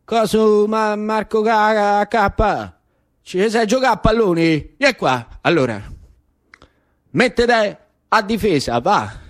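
Man acting a rowdy Roman schoolboy, raised pitch. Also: slow. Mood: bossy, excited. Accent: Roman Italian. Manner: cocky, shouted street slang. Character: rowdy, bossy schoolboy rounding up a football team. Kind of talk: radio monologue character acting.

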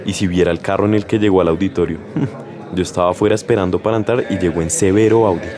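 Fast, low-voiced gossipy Colombian guy acted by a man. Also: fairly even intonation, close to an everyday voice. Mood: impressed. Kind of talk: radio sketch dialogue. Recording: busy crowd noise behind.

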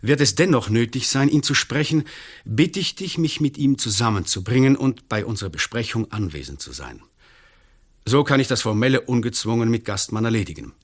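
An actor playing an uneasy, formal, middle-aged examining magistrate in a German radio play, with measured, officious phrasing. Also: male voice, medium pitch, very animated. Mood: formal, placating.

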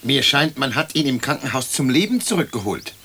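An actor playing a dry, needling dead creditor in a radio play, polite but pointed. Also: male voice, medium pitch, very animated, a little fast, sharp tone. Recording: noisy old radio recording.

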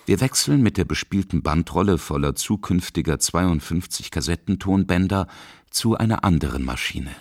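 A man's voice: sits low, expressive, normal speed, no echo, clean studio sound. A man reading scripted narration for a radio feature as its reporter. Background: music.

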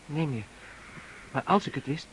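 An actor in a Dutch radio play playing a meek, evasive soldier answering a superior. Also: male voice, fairly high pitch, expressive, moderate pace, fairly bright tone, slight background noise, rough recording. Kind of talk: Dutch radio drama acting.

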